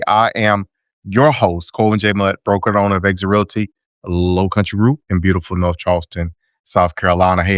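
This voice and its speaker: Energetic man hosting radio. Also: fairly low pitch, no echo, clean studio sound. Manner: energetic, hype, folksy shout-outs. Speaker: radio show host. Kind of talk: upbeat radio show hosting.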